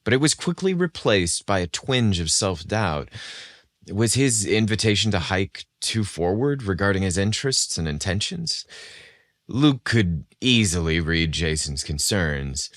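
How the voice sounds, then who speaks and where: Male narrator for romance audio fiction, notably low register, dry sound. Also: fairly bright tone, no echo. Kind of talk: scripted romance story narration.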